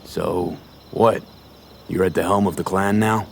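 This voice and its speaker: A man's voice: low-pitched, fairly even intonation, unhurried, vocal fry, slight background noise. A man playing a dry, laconic mercenary hero in a video game, speaking tersely and wryly. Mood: wry probing. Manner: terse, wry.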